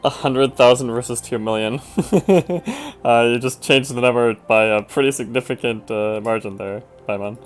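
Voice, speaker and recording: A man gives casual commentary as he plays a video game. His voice is mid-pitched and his delivery wry and grumbling. Faint game music runs underneath. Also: moderate pace. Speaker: game streamer.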